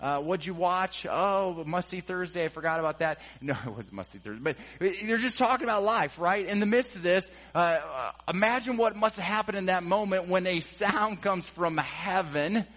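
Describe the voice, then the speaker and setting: A man preaching a sermon, casual and playful, acting out quoted dialogue and building to excited peaks. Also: high voice, normal speed, few pauses. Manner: conversational, acts out quotes with humor, rising excitement. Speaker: pastor.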